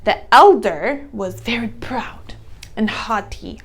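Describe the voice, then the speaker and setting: A woman's voice: fairly high pitch, animated, slow pace, a little hiss or hum. A woman teacher reading a story aloud to young children, explaining words in simple phrases and asking questions.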